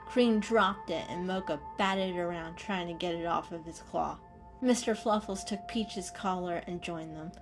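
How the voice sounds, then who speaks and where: A woman's voice: lowish voice, a little slow, music in the background. A woman reading a story aloud as a storyteller, in dramatic storybook narration.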